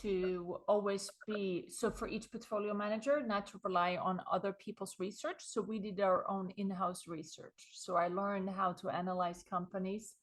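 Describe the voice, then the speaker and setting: A woman's voice: medium pitch, normal speed, few pauses. Swedish-accented woman telling her story. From Sweden. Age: middle-aged. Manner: animated storytelling, chuckles often. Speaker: guest author. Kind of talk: conversational interview.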